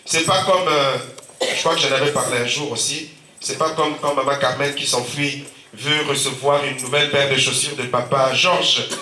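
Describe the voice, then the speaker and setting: A man's voice: medium pitch, fairly even intonation, moderate pace, pushed and bright, a little hiss or hum. A man preaching a sermon as pastor, with a sore throat and a cold, calling out amen and alleluia often, with a Central African French accent. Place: church hall. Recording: echoey church from a distance.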